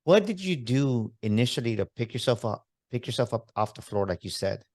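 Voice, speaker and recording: A man's voice: mid-range voice, very animated, brisk, darkish tone. A man hosting a motivational podcast, talking with enthusiastic, emphatic hype-man energy. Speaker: podcast host. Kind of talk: upbeat motivational podcast interview.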